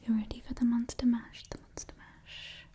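A woman in her twenties doing an ASMR roleplay in a soft, breathy whisper, close to the mic, soothingly repeating her phrases. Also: fairly high pitch, level tone, moderate pace. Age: 20s. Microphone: close-up ASMR microphone.

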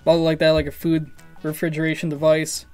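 A man's voice: fairly high pitch, completely flat delivery, fairly quick, darkish tone, faint music behind. A man in his twenties making a casual prank phone call, hesitant and rambling as if confused. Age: 20s. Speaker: prank caller, YouTube video host. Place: small room at home, indoors.

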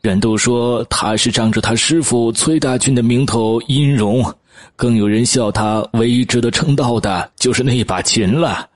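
A man voicing genial, chuckling elderly men in an audiobook, hesitant and unhurried. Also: medium pitch, no echo, natural voice. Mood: amused, admiring. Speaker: audiobook voice actor. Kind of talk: acted audiobook dialogue.

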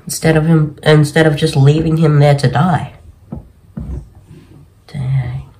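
A man's voice: a little high, fairly even intonation, slow pace, warm tone, a little hiss or hum. A young male gaming host in casual commentary, with a laid-back, slangy way of talking. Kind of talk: casual gameplay commentary, reading cutscene dialogue aloud. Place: small bedroom, indoors. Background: game music.

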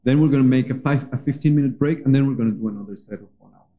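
A man in his thirties from Colombia speaks earnestly into a stand microphone, stopping often as he searches for words. His voice is very dark and mellow, with a middling pitch. He sounds like he is in a small cafe. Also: rapid-fire. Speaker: musician hosting a livestream jam. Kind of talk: casual spoken introduction to a livestream. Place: small cafe with stage, indoors. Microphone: vocal mic on a stand.

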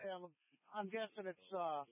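A male police officer giving an unscripted, somewhat hesitant report from the field over police radio. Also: unhurried. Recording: muffled low-fi police radio audio.

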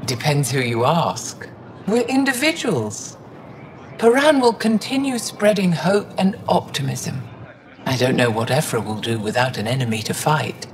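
A woman voicing an elderly, wise and wry alien scholar in a video game, speaking in a measured, dignified way. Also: rumbling low, big pitch swings, a little hiss or hum, heavily acted. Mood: thoughtful, wry. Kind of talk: video game dialogue acting.

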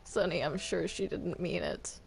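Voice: mid-range voice, a little slow, creaky, soft background music. A player chatting casually and hesitantly during a multiplayer game roleplay session. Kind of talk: casual gameplay chat with roleplay. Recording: noisy home game recording.

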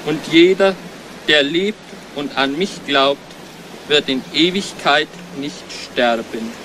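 A solemn graveside priest, reciting with slow, measured delivery. The voice is a little high for a man and sits in clear noise on an old radio play recording. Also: a bit bright, low-quality recording. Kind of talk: liturgical recitation in a radio drama.

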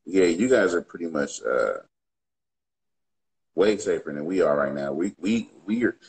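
A man in his thirties from the US West Coast, a music producer guest talking in a relaxed, slangy way over a webcam from his home studio. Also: sits low, unhurried, rough recording. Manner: laid-back, slangy, relaxed. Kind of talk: casual livestream interview. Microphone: webcam on a video call.

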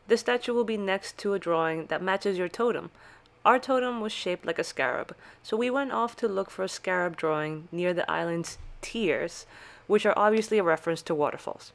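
A woman reading scripted, step-by-step tutorial narration for a video game guide. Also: medium pitch.

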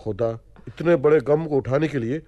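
An actor playing a gossipy, solemn palace servant in a radio play. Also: male voice, mid-range voice, normal speed, dark tone, no echo, dull audio, natural voice. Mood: solemn, busybody.

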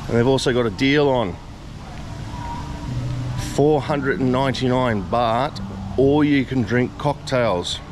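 A man's voice: mid-range voice, slow, pauses often, background noise. Chatty man vlogging at night. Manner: chatty, exclaiming "far out" and "whoa". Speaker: travel vlogger. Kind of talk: casual vlog narration. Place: outdoors at night and in a rooftop bar. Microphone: action camera held at arm's length. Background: loud music.

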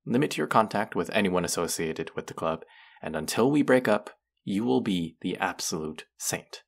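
Casting: male actor as rude, smug college twin for audio roleplay; low voice, heavy fry, sardonic drawl. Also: expressive, moderate pace, heavily acted. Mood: bossy. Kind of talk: scripted roleplay addressed to the listener.

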